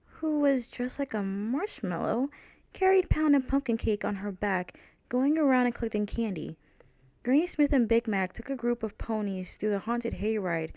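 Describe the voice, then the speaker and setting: A woman's voice: mid-range voice, dark tone, dry recording. A woman reading out her own fan fiction as a scripted storybook narration.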